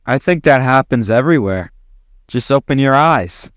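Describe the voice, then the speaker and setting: A man's voice: medium pitch, animated, normal speed, no echo, clean studio sound. A man giving casual interview answers in a blunt, offhand way, swearing freely. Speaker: interview guest, former commander in an online roleplay community.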